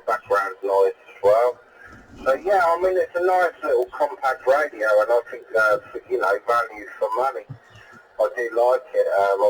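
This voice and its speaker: A man chatting casually as an operator over a CB radio transmission. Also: lowish voice. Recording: noisy CB radio heard through speaker.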